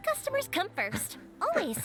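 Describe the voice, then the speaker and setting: A woman's voice: very high-pitched, huge pitch swings, moderate pace, full character voice. A young woman voicing a cheerful, talkative fireworks maker in a game cutscene, bubbly and rambling. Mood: chatty. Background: game music.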